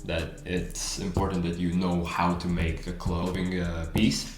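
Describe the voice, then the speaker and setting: A man's voice: low voice, flat delivery, a little slow, faint music behind. A man from Latvia with a Latvian accent, chatting casually as a co-host in a question-and-answer session. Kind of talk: casual Q&A chat. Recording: echoey room, background noise.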